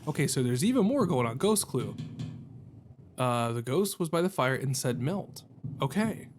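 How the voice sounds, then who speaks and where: A man's voice: mid-range voice, very animated, normal speed. A man hosting a gaming video with casual let's-play commentary, thinking aloud in a wry, jokey way. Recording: game ambience and noise behind voice.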